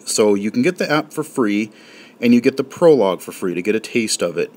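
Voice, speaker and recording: A man gives a relaxed, rambling demonstration of an app, full of fillers and restarts. His voice sits on the low side. He sounds informal and unscripted. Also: fairly quick. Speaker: game reviewer. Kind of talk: casual spoken app walkthrough.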